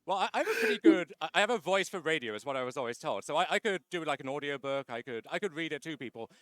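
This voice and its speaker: Bright, crisp-voiced man being interviewed. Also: on the high side, big pitch swings, racing, no room sound. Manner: chatty, wry, with many "uh" fillers. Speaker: tournament winner being interviewed. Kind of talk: casual post-match interview.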